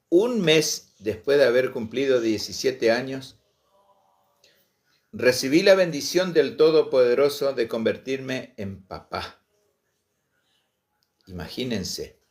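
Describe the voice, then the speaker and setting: Slow, passionate man. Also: mid-range voice. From Misiones, Argentina. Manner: slow, passionate, pausing often with fillers. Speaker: Guaraní language and culture promoter. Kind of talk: personal storytelling to online viewers.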